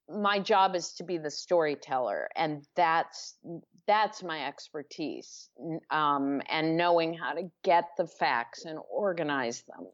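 Woman guest author, unhurried and low-voiced, thinking aloud in a muffled lo-fi recording. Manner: thoughtful, circling with restarts and fillers. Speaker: author being interviewed. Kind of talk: reflective interview answers. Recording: dull lo-fi audio.

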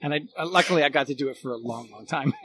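Male interview guest, slightly high voice, earnest, rephrasing speaker, light noise behind. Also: quick pace, fairly bright tone, poor audio. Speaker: guest, former radio producer. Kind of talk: casual reminiscing conversation.

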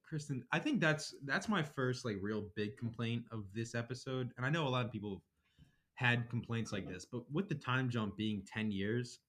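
A man's voice: medium pitch, moderate pace, fairly bright tone. A man co-hosting a podcast, reviewing a TV episode in informal, slangy, bantering talk. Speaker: podcast co-host. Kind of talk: casual chat reviewing a TV episode.

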